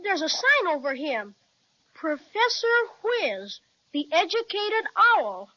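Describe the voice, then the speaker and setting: A woman's voice: very high voice, big pitch swings, slow, lo-fi sound, exaggerated voice. A woman playing an eager, bold young boy adventurer in a children's audio serial, talking in a chatty, boyish way. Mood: curious. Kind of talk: children's radio serial acting.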